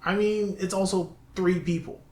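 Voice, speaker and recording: A man's voice: sits high, steady tone, moderate pace, darkish tone. A man co-hosting a casual video game chat, talking like an enthusiastic gamer. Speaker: co-host of a video game talk show. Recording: roomy echo with background hiss.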